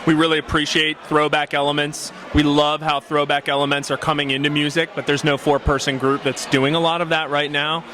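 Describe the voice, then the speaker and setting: A man's voice: on the high side, little pitch movement, a little fast, few pauses, bright, forceful tone, slight background noise. A male pop singer giving earnest, motivational interview answers.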